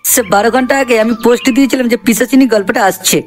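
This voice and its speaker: A man's voice: very high-pitched, rapid-fire. A man voicing an eager comic cartoon sidekick, peppering the talk with repeated filler words. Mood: chatty. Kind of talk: cartoon character addressing viewers. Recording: voice over background music.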